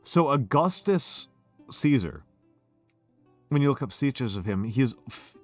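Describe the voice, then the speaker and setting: A man's voice: medium pitch, lively intonation, unhurried, faint music behind. A drunk man streaming a casual chat, rambling with stumbling restarts.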